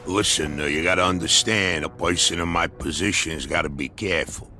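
An actor playing a smug, foul-mouthed dockside union boss in a crime video game, with an American accent and a streetwise, chummy, patronizing manner. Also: male voice, low-pitched, a little fast, bright, forceful tone. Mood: wary, probing. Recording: music behind the voice. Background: background music.

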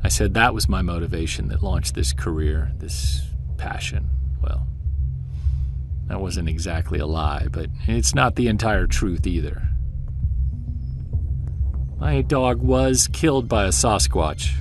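Male for audio drama: guilt-ridden investigator husband, low earnest voice, music behind. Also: lively intonation, measured pace. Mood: regretful, confessional. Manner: confessional, earnest. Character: troubled, guilt-ridden husband and Bigfoot investigator recording confessions. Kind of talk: audio drama monologue and dialogue.

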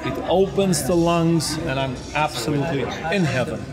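A German-accented man in his fifties speaks in a calm, unhurried, reflective way, his voice a little higher than most men's. Music plays clearly underneath him throughout. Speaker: tea master. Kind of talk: documentary narration and on-location talk.

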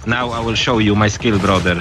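A man's voice: lowish voice, fairly even intonation, fairly quick, over music. A man chatting and joking with a teammate during an online shooter match, calling him brother. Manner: jokey put-on Italian accent, calls others brother. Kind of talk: casual game voice chat. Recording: noisy game audio.

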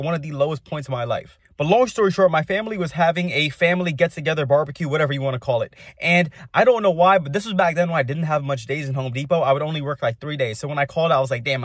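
A man's voice: on the high side, no room sound, studio quality. A young man hosting a vlog, telling a personal motivational story in a casual, slangy, emphatic way with some swearing. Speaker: video host.

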